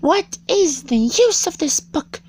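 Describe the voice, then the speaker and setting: A person reading a storybook aloud, voicing a bored young girl in a thin, strained falsetto with a questioning manner. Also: lively intonation, slow, darkish tone. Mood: bored, puzzled.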